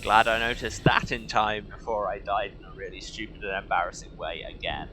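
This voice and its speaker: A man's voice: lowish voice, steady tone, brisk. A man giving casual, wry and self-deprecating commentary as he plays a video game, with dry sarcasm. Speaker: video game let's player. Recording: game music and vehicle noise behind. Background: loud game music and vehicle sounds.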